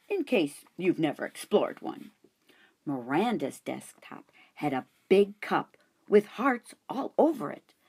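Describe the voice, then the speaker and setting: A woman narrating a first-person children's story with an animated, playful delivery. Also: fairly low pitch, breathy edge. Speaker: audiobook narrator.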